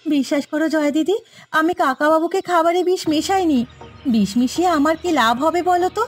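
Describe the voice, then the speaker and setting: A woman's voice: high-pitched, fairly quick, faint music behind, lightly acted. A woman voicing an earnest young village mother in a cartoon, polite and pleading.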